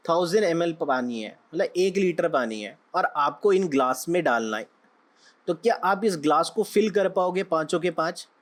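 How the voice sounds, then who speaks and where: A young man, slightly hoarse, teaching a trading lesson in a conversational way, often checking in with his listeners. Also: a little high. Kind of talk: explaining lesson with analogies.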